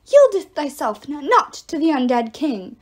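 Woman performing a talking enchanted arrow, raised pitch, grandly theatrical, antique diction, insistent, storybook character. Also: very animated, unhurried. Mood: urgent, commanding. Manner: declamatory, mock-Shakespearean diction. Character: magical talking arrow, archaic, bombastic, urgent coach.

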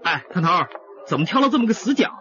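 An actor in a radio drama playing a cheeky, excitable young policeman. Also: high voice, quick pace, lo-fi sound, noisy background. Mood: teasing.

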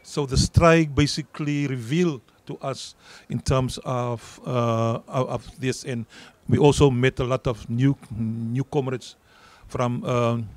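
Middle-aged man, Afrikaans accent, union leader, emphatic, medium pitch. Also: fairly even intonation, takes it slowly, few pauses, darkish tone, no echo. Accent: South African Afrikaans. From South Africa. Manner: earnest, emphatic, repeats words when stressing a point. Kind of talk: interview answers at a public event. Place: outdoor garden event. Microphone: handheld mic.

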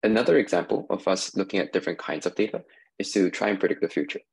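Low gravelly man explaining slides. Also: lively intonation, a little mellow. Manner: measured, explanatory, frequent "uh" and "um" fillers. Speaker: industry researcher giving a talk. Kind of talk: recorded conference talk over slides.

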